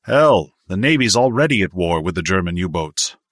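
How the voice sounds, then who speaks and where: A man voicing a brash, domineering wealthy businessman in an audiobook, forceful and cocksure. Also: deep voice, sing-song, normal speed, dry recording, studio quality, exaggerated voice. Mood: combative, confident.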